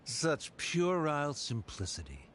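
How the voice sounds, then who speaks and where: An actor playing a haughty, grudging man in a video game, with a husky voice and pompous, disdainful delivery. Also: fairly high pitch, huge pitch swings, a little slow, fairly bright tone, a little hiss or hum, full character voice.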